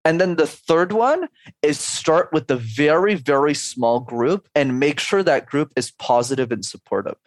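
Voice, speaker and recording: A man's voice: fairly high pitch, expressive, a little slow, no echo. A man in his twenties or thirties, a speech coach, talking energetically in a podcast interview and laying out advice in numbered steps. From Canada.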